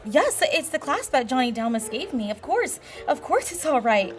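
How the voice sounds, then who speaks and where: Woman, acting sentimental heartbroken wife, fairly high voice, halting melodramatic pleas, radio play, faint music. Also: sing-song. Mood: fragile hope. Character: heartbroken, genteel married woman, sentimental and pleading. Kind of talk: 1940s radio drama acting.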